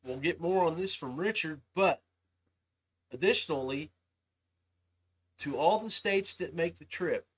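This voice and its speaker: Man, podcast host, slightly high voice, casual informal livestream talk. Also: measured pace, pauses often, rough recording. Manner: informal, addresses listeners as guys.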